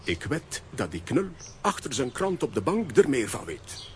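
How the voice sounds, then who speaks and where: An actor playing a bumbling, kindly, easily flustered cartoon uncle in a children's audio drama, exclaiming and muttering to himself. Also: male voice, medium pitch, sing-song, moderate pace, a little hiss or hum, natural voice. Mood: glum muttering.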